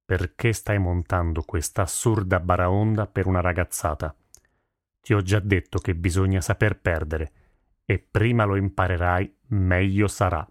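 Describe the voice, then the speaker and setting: Guy doing a gruff big dad armourer, deep voice, reluctant, storybook character, dry audio. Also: fairly even intonation, moderate pace, dry recording, close to an everyday voice. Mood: irritated. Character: gruff, protective big armourer father, middle-aged.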